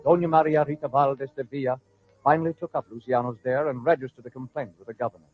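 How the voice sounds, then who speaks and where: A man giving formal, measured scripted narration for an old-time radio drama. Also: medium pitch, steady tone, faint music behind. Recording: dry, muffled old radio recording.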